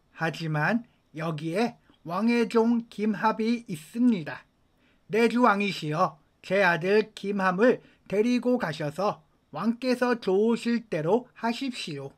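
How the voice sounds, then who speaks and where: A man reads scripture aloud from a written text. His voice sits high for a male speaker. The delivery is that of scripted Bible narration, carrying both the narrative and the quoted dialogue. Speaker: scripture reader.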